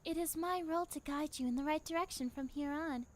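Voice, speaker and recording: A woman playing a gentle young time traveller in an anime fan dub, patiently explaining and reassuring. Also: high voice, fairly quick, close to an everyday voice. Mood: gentle, assured. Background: background music.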